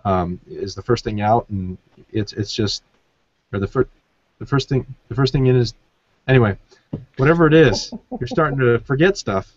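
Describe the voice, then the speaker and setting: Male podcast host, casual and rambling with a joking streak, average pitch, slightly muffled recording. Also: normal speed, long pauses. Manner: rambling, jokey, loses his train of thought. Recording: dull, muffled lo-fi sound.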